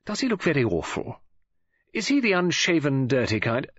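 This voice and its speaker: A woman's voice: low-pitched, very animated, moderate pace, slightly rough, no room sound, lo-fi sound, voice pushed up, full character voice. A man voicing a chatty, flustered middle-aged English woman novelist in an audiobook, with a gushing, breezy manner. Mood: gossipy.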